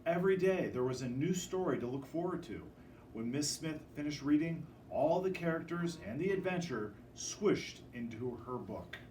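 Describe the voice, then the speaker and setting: A man reading a children's picture book aloud in an expressive, dramatic storytelling manner. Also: fairly high pitch, normal speed, roomy sound. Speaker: storybook reader. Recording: distant microphone with faint background noise.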